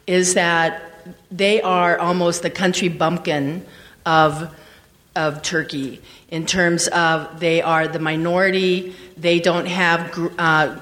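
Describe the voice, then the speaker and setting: A woman's voice: on the low side, fairly bright tone. A woman sharing travel impressions in a casual group discussion, rambling and hesitant with many fillers. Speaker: trip panelist. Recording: reverberant hall.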